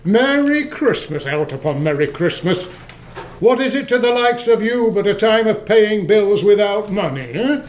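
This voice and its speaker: A man's voice: sits high, lively intonation, normal speed, a little hiss or hum. A man acting a scornful, curt old miser, a sneering uncle, in a radio play. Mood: scornful, grumpy.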